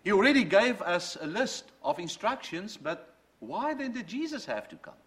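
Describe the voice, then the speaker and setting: Brief: middle-aged male preacher, Dutch mother tongue, earnest testimony, wide swooping pitch. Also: very animated, normal speed, fairly bright tone. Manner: earnest, storytelling, quotes from books. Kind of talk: sermon with personal testimony.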